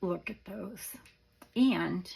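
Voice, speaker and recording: Hoarse, slow-talking woman gushing softly. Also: medium pitch, poor audio. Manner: soft-spoken, gushing, often drops to a whisper. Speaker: craft vlogger. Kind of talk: casual show-and-tell.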